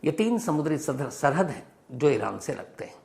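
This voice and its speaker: A man's voice: on the high side, very animated, moderate pace, vocal fry, darkish tone. A man presenting an explanatory news monologue in an emphatic storytelling manner, often posing questions and rephrasing points for clarity. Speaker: host of a news explainer show.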